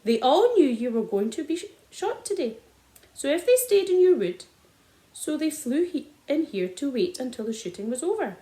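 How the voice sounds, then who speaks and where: A woman voicing a calm, sly country father who speaks softly and wryly. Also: high voice, moderate pace. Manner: soft-spoken, wry. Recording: roomy echo.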